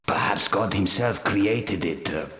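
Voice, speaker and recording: A man's voice: on the low side, measured pace, a bit bright. An actor playing an anxious, servile lurker in a video game, hesitant and deferential as he attends his lord. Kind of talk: scripted video game dialogue. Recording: echoey reverberant room.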